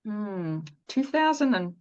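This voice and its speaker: A woman's voice: medium pitch, very animated, takes it slowly, highs cut off. A woman hosting a podcast, introducing and chatting with a guest in an enthusiastic, chatty manner. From Canada. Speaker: podcast host. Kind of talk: podcast interview.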